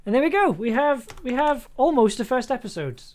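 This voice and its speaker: A woman's voice: sits high, very animated, a little fast, darkish tone. A woman chatting casually and playfully on a livestream, thinking aloud as she edits audio. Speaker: streamer and sound designer. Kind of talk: casual livestream commentary while editing audio.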